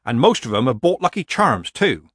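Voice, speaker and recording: Man voicing a blunt, husky old country witch. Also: medium pitch, big pitch swings, normal speed, a bit bright, dry recording, studio quality, voice pushed up. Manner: plain-spoken, colloquial, down-to-earth.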